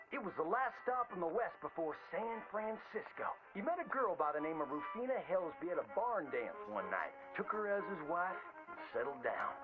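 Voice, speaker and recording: Game role: boastful old-West Southern showman-storyteller, high theatrical drawl, loud music behind. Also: lo-fi sound. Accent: American Southern, old frontier drawl. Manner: hammy, folksy, exaggerated storyteller delivery. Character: folksy theatrical frontier showman spinning boastful tall tales. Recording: band-limited old-radio sound with prominent music.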